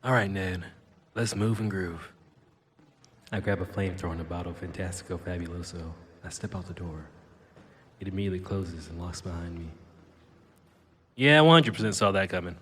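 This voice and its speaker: A man's voice: low-pitched, brisk, long pauses, a bit bright. A man playing a cocky, wisecracking fugitive with a mechanical tail in an audio drama, slangy and flippant. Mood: wry narrating. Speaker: lead character and narrator. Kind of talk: first-person audio drama narration and dialogue. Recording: long reverb, clear background noise.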